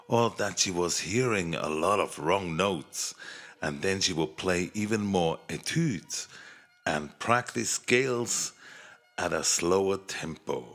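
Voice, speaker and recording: Male fairy-tale narrator, unhurried, with widely varied intonation for young listeners. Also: lowish voice. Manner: expressive, measured children's storytelling. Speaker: storyteller.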